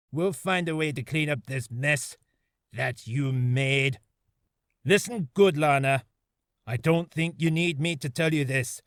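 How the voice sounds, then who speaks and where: A man's voice: a little high, gravelly, a bit bright, dry recording, studio quality, heavily acted. Man playing a chummy, menacing older police chief. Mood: firm, reproachful, then veiled menace. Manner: chummy, drawn-out phrases, veiled threat. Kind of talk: character voice audition reel.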